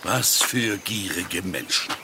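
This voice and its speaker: An actor playing an earnest, stern police inspector in a children's radio play, with a deliberate, declamatory delivery. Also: male voice, sits low, expressive, gravelly, noisy background, exaggerated voice.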